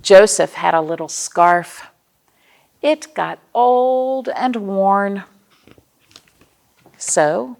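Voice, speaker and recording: Slow, creaky-voiced American woman reading to children. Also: lowish voice. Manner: expressive, simple explanations with questions to young listeners. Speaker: children's librarian, storytime host. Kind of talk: read-aloud storytime for young children.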